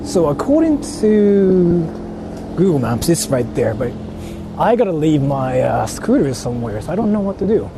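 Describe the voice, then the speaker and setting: A man's voice: a little high, big pitch swings, normal speed, vocal fry. A man from Japan chatting casually to livestream viewers in slangy, repetitive phrases, out on a city street. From Japan (Ichihara). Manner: repeats himself, informal slangy talk. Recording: noisy urban street with traffic.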